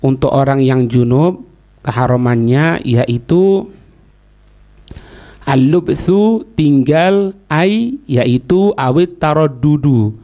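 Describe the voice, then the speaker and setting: Slow-talking man teaching religion. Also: medium pitch, dark tone. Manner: deliberate, halting phrases with pauses. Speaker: Islamic teacher. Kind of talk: religious lesson, reading Arabic text and explaining it phrase by phrase.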